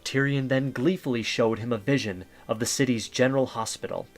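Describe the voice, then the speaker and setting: A man's voice: mid-range voice, brisk. A man narrating a dramatic story from a script for an audio drama.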